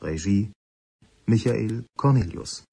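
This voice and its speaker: A man reading radio play credits as a formal announcer in a measured manner. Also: lowish voice, expressive, vocal fry, warm tone, husky.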